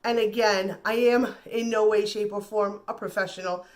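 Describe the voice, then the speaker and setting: A woman's voice: mid-range voice, steady tone, moderate pace. A woman with a slightly nasal voice, getting over the flu, chatting casually and reassuringly through a makeup tutorial. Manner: chatty and reassuring, repeats words. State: recovering from flu. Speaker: beauty vlogger.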